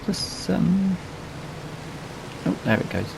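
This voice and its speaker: A man's voice: high-pitched, sing-song, takes it slowly, vocal fry, noisy background. A British man giving casual, unscripted let's play commentary on a video game, thinking aloud as he plays. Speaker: gaming video host. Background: farming game vehicle and ambience sounds.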